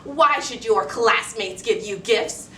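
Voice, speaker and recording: A woman's voice: a little high, takes it slowly, fairly bright tone. A woman playing a cruel, haughty stepmother who scolds and accuses in a theatrical dramatic performance. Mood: cold, scornful. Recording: roomy, distant mic, faint noise.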